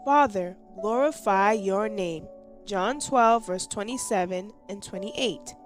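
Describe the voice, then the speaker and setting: A woman's voice: medium pitch, slow, faint music behind. A woman reading a scripted devotional passage aloud. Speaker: devotional reader.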